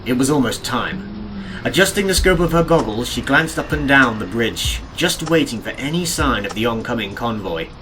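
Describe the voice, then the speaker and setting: A man reading scripted audiobook narration of an adventure story in a deliberate, dramatic, descriptive way. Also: mid-range voice, expressive, background noise. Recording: music behind the narration. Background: game and cartoon soundtrack music.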